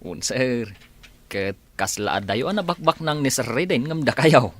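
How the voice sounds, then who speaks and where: An actor with an Ilocano accent playing a blundering, cheeky village driver who blurts and exclaims in a comedy radio drama. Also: male voice, medium pitch, normal speed, no echo, lightly acted. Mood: deferential, gossiping. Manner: animated, deferential yet blurting, exclaiming.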